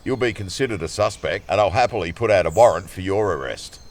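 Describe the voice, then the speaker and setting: A man's voice: sits low, lively intonation, fast talker. A man playing a stern, officious police officer in an audio drama, with a curt, authoritative and threatening manner.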